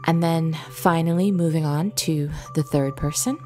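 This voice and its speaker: A woman leading a guided visualization meditation in a gentle, soothing, instructive manner with long pauses. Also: low-pitched. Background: background music.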